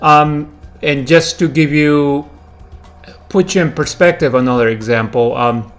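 A man in his forties from Peru, hosting a podcast in a reflective, halting way with many fillers and restarts. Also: fairly high pitch, steady tone, soft background music. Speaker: podcast host. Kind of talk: casual solo podcast monologue.

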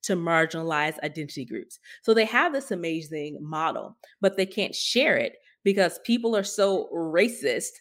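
Casting: female tech podcast co-host, lower register, candid and emphatic, conversational delivery. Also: very animated, moderate pace, hardly any pauses. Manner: opinionated, emphatic, skeptical. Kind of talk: tech news commentary, conversational.

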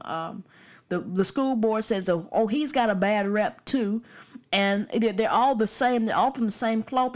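A young woman from the Birmingham suburbs, giving an earnest, emphatic opinion monologue that repeats its points. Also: fairly high pitch. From Birmingham, Alabama suburbs.

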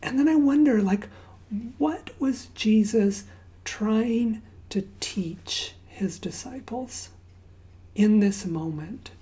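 A woman raised in Zambia giving a sermon. She opens with a quiet prayer and then tells stories conversationally, often dropping to a hushed near-whisper. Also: fairly high pitch, slow. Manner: conversational storytelling with fillers and asides. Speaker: pastor.

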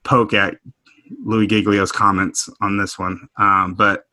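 A middle-aged man from Houston, speaking thoughtfully in a group discussion, halting and restarting as he works through his points. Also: fairly low pitch, little pitch movement, pushed and bright, dry recording. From Houston, Texas. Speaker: discussion panelist. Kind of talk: reflective conversational discussion.

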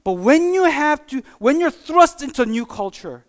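A preacher giving a conversational sermon with personal stories, talking in a halting, informal way and often checking in with listeners. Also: high voice, very animated. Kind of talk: conversational sermon with personal anecdotes and acted-out examples.

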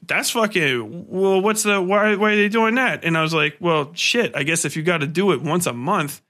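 A man's voice: sits high, normal speed, pushed and bright. A man talking casually as a podcast host, blunt and rambling, with heavy swearing. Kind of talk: casual, profane conversation.

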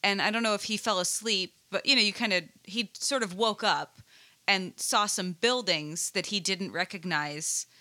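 A woman talking casually among others in a wry, dry way. Her voice is bright and forward, at an ordinary middle pitch. It is relaxed conversation, nothing scripted. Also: moderate pace. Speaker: participant in a group conversation. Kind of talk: casual chat.

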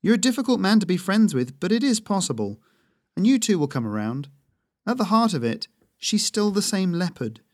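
A man's voice: sits high, big pitch swings, a little fast, darkish tone, no room sound, pitched above the performer's own voice. A man putting on a mocking woman hunter, raised voice, dry close sound, blunt and teasing. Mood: gentle.

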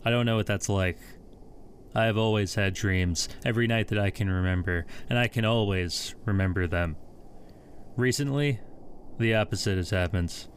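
A young man acting as a brooding, sleepless narrator, speaking in a measured, reflective first-person style. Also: fairly low pitch, slight background noise, natural voice. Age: young adult. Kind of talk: scripted first-person audio fiction narration.

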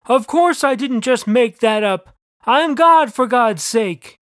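A man's voice: extremely high pitch, big pitch swings, slow pace, dry recording, clean studio sound, voice pushed up, heavily acted. A man voicing an irritable, foul-mouthed God in a comic story, with emphatic, exasperated delivery.

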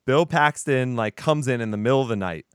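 Smooth-voiced Texan man in casual chat. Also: mid-range voice, a little fast, no echo, studio quality. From Texas. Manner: laid-back, profane, laughing asides. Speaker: podcast co-host.

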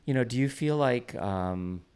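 A man interviewing a peer about church life, halting and restarting his phrases and checking that he is understood. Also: mid-range voice, lively intonation, darkish tone, clear, resonant tone. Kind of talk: conversational interview.

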